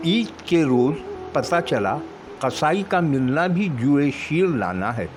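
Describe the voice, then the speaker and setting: A middle-aged man reads a short story aloud as its narrator. His pace is slow and deliberate, with pauses between phrases and a very lively rise and fall. Music plays clearly behind him. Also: on the high side. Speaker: storyteller reading own short stories.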